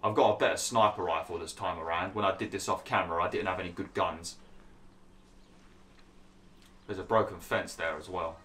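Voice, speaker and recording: Young man, gameplay commentary, bright and forceful, a bit hoarse, grumbly. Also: low-pitched, steady tone, quick pace. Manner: chatty, grumbling, laughs at himself. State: losing his voice. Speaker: gaming YouTuber.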